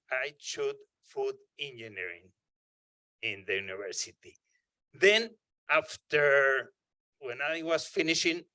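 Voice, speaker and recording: A man from Mexico with a Mexican accent, giving a jokey, animated conference talk. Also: mid-range voice, no room sound, clean studio sound. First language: Spanish. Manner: animated, jokey, with bursts of emphasis. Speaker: conference speaker. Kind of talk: humorous keynote talk. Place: conference hall podium.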